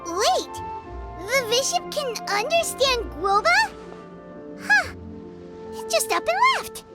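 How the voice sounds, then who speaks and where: A tiny fairy sidekick, squeaks in an extremely high, childlike voice. She is excitable and bossy, swooping wildly in pitch. Game music plays prominently behind her. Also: slow, full character voice. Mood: surprised. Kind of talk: anime game dialogue.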